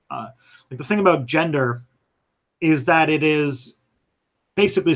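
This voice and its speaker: A man's voice: on the high side, fairly bright tone. Hesitant man answering stream questions. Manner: thoughtful, hesitant, many fillers and restarts. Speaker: history channel host answering viewer questions. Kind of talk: casual live-stream Q&A.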